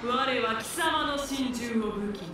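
A woman's voice: a little high, measured pace, close to an everyday voice. An actress playing a resolute, solemn female warrior commander in a video game, speaking in a composed, earnest, declamatory way. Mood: fierce, vowing. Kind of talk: dramatic Japanese video game voice acting. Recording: loud music behind the voice.

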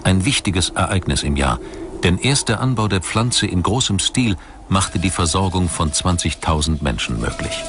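A man delivering scripted documentary narration in a measured, formal storytelling manner. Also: low-pitched, expressive, background noise. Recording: music bed under voice. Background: background music.

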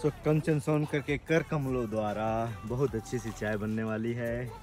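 A chatty man in his thirties talks to a handheld camera outdoors, laughing easily and drifting between languages. His pitch sits in the middle range. Light music plays faintly behind him. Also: steady tone, unhurried, darkish tone. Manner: chatty, laughs often, mixes in English. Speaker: family vlogger. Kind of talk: casual vlog narration. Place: outdoors at a campsite and a beach. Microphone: handheld camera at arm's length.

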